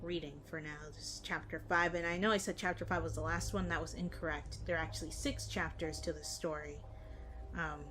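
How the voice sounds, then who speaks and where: A woman's voice: sits low, fairly even intonation, a little fast, fairly bright tone, soft background music. A woman hosting a reaction video with casual, chatty commentary.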